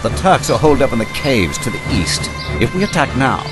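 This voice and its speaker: An actor playing an authoritative, learned lord of a knightly order in a video game cutscene. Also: male voice, medium pitch, big pitch swings, a little fast, lightly acted. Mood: commanding. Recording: loud music behind the voice.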